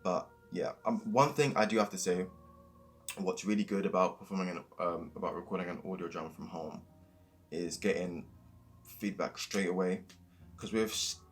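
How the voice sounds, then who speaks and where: A young man talking informally about acting, often repeating and rephrasing himself. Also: deep voice. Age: young adult. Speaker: youth theatre actor. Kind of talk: informal interview-style reflection. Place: at home.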